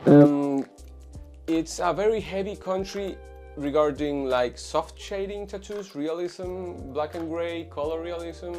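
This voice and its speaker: A man's voice: fairly high pitch, slow, dark tone, faint music behind. Hesitant young man from Venezuela. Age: 20s. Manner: hesitant, many fillers and restarts. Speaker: tattoo artist guest. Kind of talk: casual interview answers.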